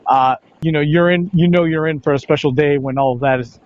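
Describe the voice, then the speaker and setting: A man who works as a play-by-play announcer answers questions in a conversational sports interview, with halting phrasing and repeated words. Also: on the high side, steady tone. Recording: dull, lo-fi, band-limited sound.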